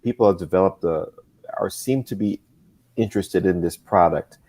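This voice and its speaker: A man with a very low, deep voice talks through his thinking in a casual discussion. He restarts his sentences often and picks his words with care. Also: a little mellow. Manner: halting, restarts often, measured. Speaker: comics creator.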